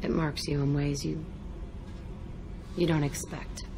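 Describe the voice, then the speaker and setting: A woman's voice: deep voice, level tone, slow. A woman playing a hardened, foul-mouthed, wounded young ex-prisoner in a video game, blunt and defiant in delivery. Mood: guarded, wry. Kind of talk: video game cutscene acting. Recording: echoey hall, clear background noise.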